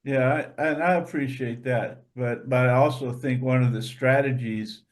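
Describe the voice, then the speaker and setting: A man explaining points in a measured way during an informal group discussion. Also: mid-range voice, fairly even intonation. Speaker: church discussion participant.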